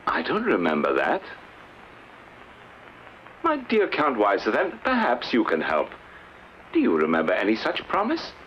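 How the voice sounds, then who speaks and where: A man's voice: lowish voice, huge pitch swings, a little slow, long pauses, muffled recording, a little hiss or hum. An actor playing a blustering, greedy, evasive old king in a theatrical fairy-tale play, flustered and pompous. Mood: evasive, indignant.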